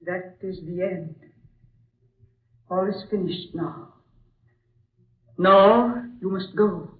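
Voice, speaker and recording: A woman's voice: lowish voice, fairly even intonation, drawn-out words, phone-quality sound. An actress playing a doomed, resolute woman of an ancient land, solemn and melodramatic, in an old radio drama. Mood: solemn urgency. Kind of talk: 1940s radio acting. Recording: distant echoing vintage radio recording with music.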